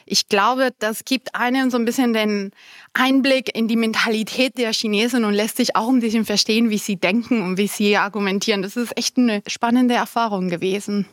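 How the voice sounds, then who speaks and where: A woman's voice: a little high, fairly even intonation, brisk, no room sound, clean studio sound. A woman who is a native Spanish speaker, half Colombian and half German, answering conversationally as a podcast guest. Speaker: podcast guest, corporate compliance manager.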